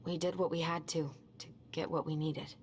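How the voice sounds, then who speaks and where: A woman's voice: low-pitched, level tone, normal speed, slight background noise, close to an everyday voice. An actress playing a tired, anxious young woman in a naturalistic video game cutscene. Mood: defensive, weary. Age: young adult.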